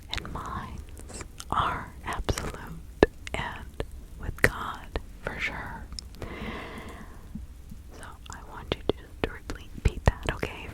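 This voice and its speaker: A woman playing a kindly, protective village witch and spiritual healer in a whispered ASMR roleplay, hushed, soothing and incantatory. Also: lightly acted, rough recording. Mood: solemn, incantatory.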